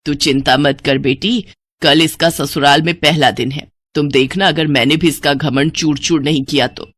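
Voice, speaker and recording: Lady doing a spiteful older saas in a cartoon, lowered voice, scolding and taunting, close dry sound. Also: fairly even intonation, normal speed, a bit bright, dry recording. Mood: angry, vengeful. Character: scolding older mother-in-law, greedy and spiteful. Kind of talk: Hindi family cartoon dialogue.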